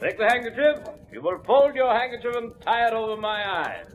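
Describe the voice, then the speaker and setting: A grand, very high-pitched stage mentalist. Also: male voice, sing-song, brisk. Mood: grand, commanding. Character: masked male stage mentalist, grand, commanding showman. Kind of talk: 1940s radio drama stage patter. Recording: muffled old radio recording, faint music.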